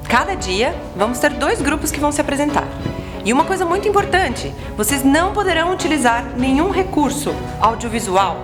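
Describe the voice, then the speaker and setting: A woman playing a new history teacher from Portugal who speaks with a European Portuguese accent and explains things in a formal, measured classroom manner. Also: on the high side. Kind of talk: student short-film acting.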